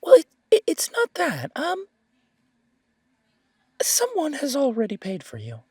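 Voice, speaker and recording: High pitched fussy elfy shopkeeper for audio rp, swoopy, prim, stammery, cheerful. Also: male voice, huge pitch swings, a little slow, warm tone, no room sound, exaggerated voice. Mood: nervous, apologetic. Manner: prim, polite, fluttery and stammering. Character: fussy, chirpy pointy-eared male shopkeeper, cheerful optimist. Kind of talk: audio roleplay acting.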